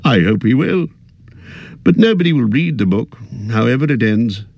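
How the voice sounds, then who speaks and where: A man reading aloud, voicing a grave, wise old male wizard in a slow, measured, thoughtful way. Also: mid-range voice, lively intonation, creaky, a little mellow, no echo, pitched above the performer's own voice.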